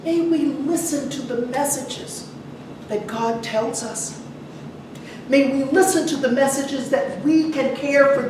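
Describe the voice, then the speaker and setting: Echoey woman preacher, slow and deliberate. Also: high voice, a little mellow. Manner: conversational, puts rhetorical questions to listeners. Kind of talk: sermon to a congregation. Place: large echoey church room. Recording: very echoey, distant mic, some noise.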